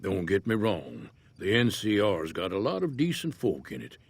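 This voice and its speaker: An actor playing an easygoing older American prospector in a Western-style video game, folksy and laconic in manner. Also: male voice, lowish voice, huge pitch swings, moderate pace, creaky, breathy edge, no echo, full character voice. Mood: measured, wary. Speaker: game character, townsfolk prospector. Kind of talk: video game character dialogue.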